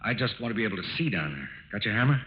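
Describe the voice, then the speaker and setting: An actor playing a calm, businesslike FBI agent in a 1940s radio drama, terse, polite and purposeful. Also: male voice, lowish voice, racing, heavy background noise. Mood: quietly purposeful. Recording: tinny narrow-band old radio recording.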